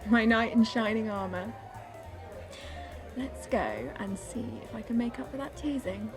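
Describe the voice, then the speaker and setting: A woman's voice: on the high side, measured pace, over music, close to an everyday voice. An actress playing a teasing, emotionally bruised single woman in a naturalistic audio drama, playful and candid, with a probing way of talking. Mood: playful, warm.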